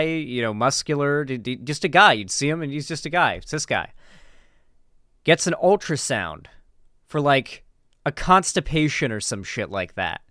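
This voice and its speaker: A man's voice: mid-range voice, pushed and bright, smooth, no room sound, studio quality. A man giving casual, unscripted commentary as a streamer, informal and emphatic, cursing and often restarting mid-thought.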